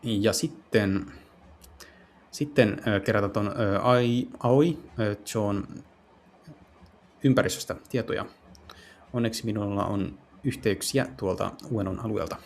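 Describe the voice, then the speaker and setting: A man doing a casual let's play, translating as he goes and haltingly searching for words. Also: on the low side. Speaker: streamer playing and translating visual novels.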